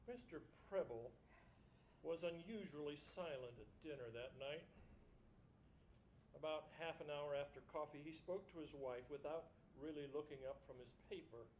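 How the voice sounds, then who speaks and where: A man narrates a short story for the stage in a fairly high voice. The delivery is scripted, with faint noise behind him. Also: normal speed, darkish tone, rough recording.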